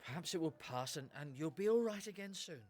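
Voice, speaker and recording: An actor playing a concerned, fussing bystander in a radio drama, husky and halting in speech. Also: male voice, a little high, lively intonation. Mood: puzzled, concerned. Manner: halting, stammering.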